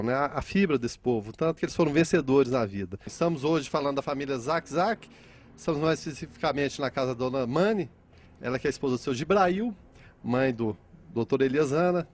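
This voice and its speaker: A man with a Brazilian accent hosting a conversational interview, addressing viewers and often repeating words haltingly. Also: mid-range voice, lively intonation. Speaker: interviewer and host.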